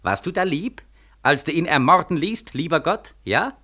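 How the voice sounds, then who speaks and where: An actor in a 1940s radio play as a bitter, desperate young soldier, mocking and questioning insistently. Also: male voice, on the high side, lively intonation, normal speed, pushed and bright. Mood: bitter, accusing.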